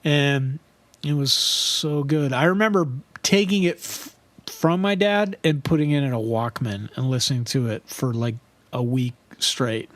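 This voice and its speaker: A man with a high voice, co-hosting a podcast in a casual chat and telling stories with lots of laughter. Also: a little slow, no echo. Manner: animated, laughs often, trails off and restarts. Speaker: podcast co-host.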